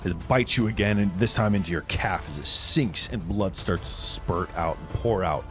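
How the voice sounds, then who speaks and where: Low-voiced male game master, faint music. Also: normal speed. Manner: dramatic, descriptive. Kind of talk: improvised tabletop game narration.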